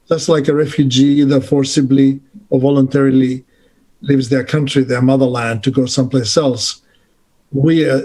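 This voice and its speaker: A man moderating a panel on a video call, speaking in a polite, measured way with frequent fillers. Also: medium pitch, mellow, breathy edge. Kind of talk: formal introductory remarks.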